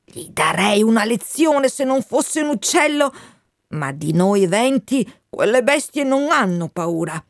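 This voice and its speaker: A woman's voice: on the high side, very animated, a little slow, dry recording, studio quality, pitched above the performer's own voice. A woman voicing the wind as a once-fierce male spirit that speaks to a child in a lilting, raised voice. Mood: boastful. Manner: soothing, then hissing and boastful.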